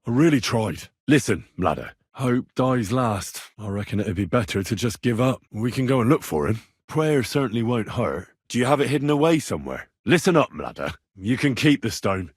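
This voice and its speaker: Earnest young medieval game hero, lively delivery. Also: male voice, medium pitch, moderate pace, husky, no room sound, clean studio sound. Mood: calm reassuring. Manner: earnest, persuasive, direct. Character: earnest young medieval man, plain-spoken, persuasive, stubborn. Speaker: video game voice actor. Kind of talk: acted video game dialogue lines.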